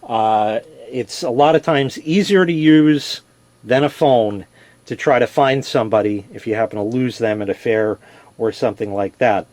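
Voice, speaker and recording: A man's voice: mid-range voice, moderate pace, darkish tone, no echo. A man presenting a casual product demonstration, explaining things step by step in a plain-spoken, conversational way.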